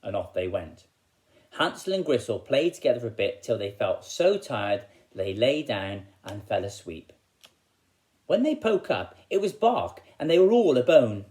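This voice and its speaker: Male primary teacher, English accent, animated storyteller for young listeners. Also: mid-range voice, unhurried. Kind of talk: expressive storytelling to children.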